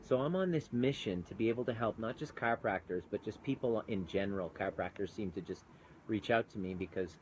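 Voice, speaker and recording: Man, earnest and chatty, live phone stream outdoors, slightly low voice, faint ambience behind. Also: fairly quick, a little hiss or hum, poor audio. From Canada. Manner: earnest, chatty, greets viewers mid-thought. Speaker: chiropractor turned coach. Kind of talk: live-streamed casual talk. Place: outdoors overlooking mountains.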